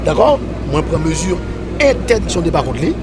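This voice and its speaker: A man's voice: on the high side, very animated, brisk. A man, a commissioner, answering questions outdoors hesitantly, often checking for agreement. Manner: hesitant, seeks agreement with "d'accord". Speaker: police commissioner. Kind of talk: street interview. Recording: outdoor street traffic noise.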